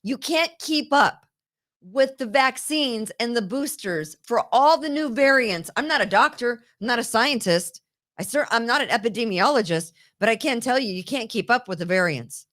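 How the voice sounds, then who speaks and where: A woman hosting a political talk show, talking in an indignant, rapid way and often repeating herself. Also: fairly high pitch, expressive, fairly bright tone. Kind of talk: opinionated political chat. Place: New York.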